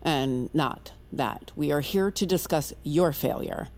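A woman's voice: sits low, slow, darkish tone. A woman playing a stern, cold institute interrogator in an audio drama, curt and commanding.

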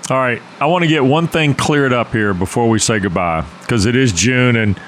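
A man's voice: medium pitch, a bit bright, slight background noise. A man hosting a sports radio show, talking casually and joking with a guest and his co-host. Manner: joking, conversational, quick asides.

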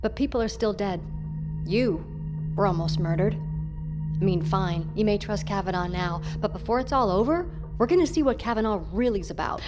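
A woman's voice: medium pitch, huge pitch swings, normal speed, over loud music. An actress playing an anxious, stubborn woman scientist in a naturalistic audio drama, argumentative and distrustful. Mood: confessional, wary.

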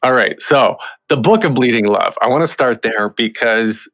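A man's voice: mid-range voice, lively intonation, moderate pace, a bit bright, soft background music. A man hosting an interview podcast, introducing and questioning in a conversational style. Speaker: podcast host interviewing theater creatives.

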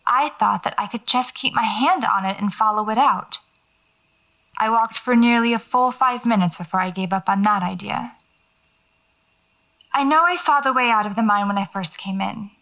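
A woman's voice: a little high, moderate pace, close to an everyday voice. An actress playing a nervous, wry horror writer who records her eerie explorations on a lo-fi tape, muttering to herself in an audio drama. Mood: shaken, reflective. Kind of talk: audio fiction acting, recorded field diary. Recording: dull lo-fi cassette tape sound.

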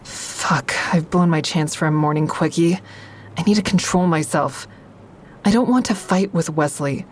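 Frank, wry low-voiced young woman narrator, acted by a woman. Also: normal speed, slight background noise, close to an everyday voice. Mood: uneasy, reflective. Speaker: actor in a fiction podcast. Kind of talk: first-person audio drama narration.

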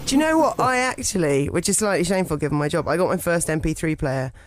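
A woman's voice: lowish voice, animated, fast talker, over music. A young woman hosting a podcast interview, asking friendly questions and teasing lightly. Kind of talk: casual interview chat.

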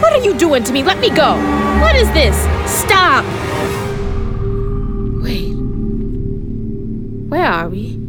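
A woman's voice: very high voice, wildly swooping pitch, slow pace, pauses often, loud music behind the voice, full character voice. An actress playing a frightened, confused young woman, panicked and pleading in an audio drama.

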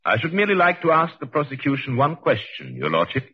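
An actor playing a sardonic, murderous husband in a 1940s radio drama, speaking with suave deliberation. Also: male voice, mid-range voice, wildly swooping pitch, fast talker, slightly rough, dry recording. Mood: composed. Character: coldly mocking murderous husband, narrating his own trial. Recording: muffled vintage radio recording, faint music.

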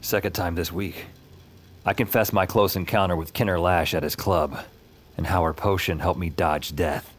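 A man's voice: sits low, steady tone, moderate pace. A man acting a wry, slangy urban fantasy hero who narrates his own story in an audio drama. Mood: concerned, confiding. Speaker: lead actor and narrator. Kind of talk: audio fiction acting with first-person narration. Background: loud music and effects.

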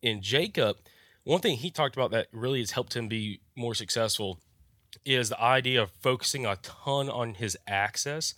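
A man's voice: medium pitch, moderate pace, bright, forceful tone, dry recording, clean studio sound. A man, nasal from a cold, chatting casually as a podcast co-host, rambling with lots of fillers and restarts.

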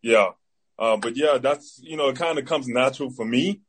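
Young bahamian guy, athlete doing a casual interview, medium pitched voice. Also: normal speed, slightly rough. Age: 20s. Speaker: interview guest, football player.

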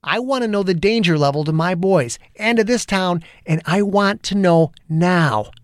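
A blunt, foul-mouthed small-town detective, old and stubborn, spoken by a man in a pitch lifted well above his narrating voice. The delivery is combative, and the sound is dry and close. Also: lively intonation, normal speed, dry recording. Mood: confrontational. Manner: blunt, profane, confrontational. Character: gruff older male small-town police detective, combative.

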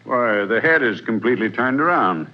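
A man's voice: mid-range voice, animated, moderate pace, close to an everyday voice. A man as a fussy older professor. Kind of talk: 1940s radio acting. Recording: dull old radio broadcast.

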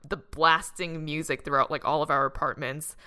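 A woman chatting casually with friends, telling stories from her childhood. Her voice is bright and ringing, and she often jumps higher when she acts out her younger self. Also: fairly even intonation, normal speed, clear, resonant tone. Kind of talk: casual chat.

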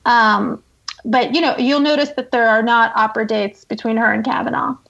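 A woman with a fairly high voice speaks as a journalist guest on an interview show. She explains and analyzes in a conversational commentary style. The audio is slightly dull and lo-fi. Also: steady tone, normal speed, creaky, fairly bright tone.

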